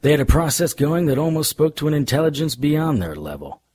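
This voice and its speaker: An actor playing a wry, deadpan, hardboiled supernatural private investigator narrating a noir radio drama in a husky voice. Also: male voice, on the high side, fairly quick. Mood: wry, watchful. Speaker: narrator and lead.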